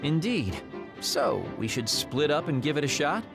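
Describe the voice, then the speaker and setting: A man's voice: fairly high pitch, big pitch swings, a little slow, over music. A man voicing a cynical, guarded young man in an English video game dub, speaking in a wry, blunt way.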